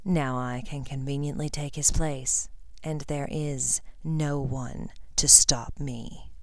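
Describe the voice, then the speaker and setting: Cruel older male wizard played by a woman, low-pitched, slow, mocking storybook menace. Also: fairly even intonation, a little mellow, dry recording, heavily acted. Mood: cold gloating. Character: cold, cruel, sneering older male villain wizard, a father.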